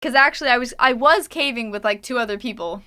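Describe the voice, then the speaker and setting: A woman's voice: a little high, fast, bright, forceful tone, clear, resonant tone. A young adult Canadian woman streaming a game, chatting casually and reading her journal entries aloud. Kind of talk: casual livestream chat while gaming.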